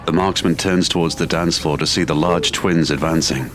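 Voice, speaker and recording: A man's voice: sits low, steady tone, moderate pace. A man reading scripted, dramatic story narration for an audio drama. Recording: music playing behind the narration.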